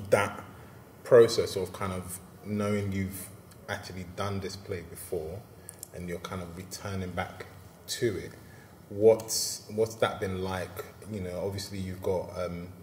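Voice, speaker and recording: A man with a very low voice hosts the conversation, posing careful, measured questions. His voice rings in a roomy space with a faint background hum. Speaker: host, artistic director. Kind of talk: interview questions. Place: large rehearsal room indoors. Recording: roomy echo, faint ambience.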